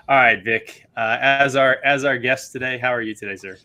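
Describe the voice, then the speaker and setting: Bright-voiced laughing male book club host. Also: mid-range voice, a little slow. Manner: chatty, laughs often, many restarts. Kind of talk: casual online chat.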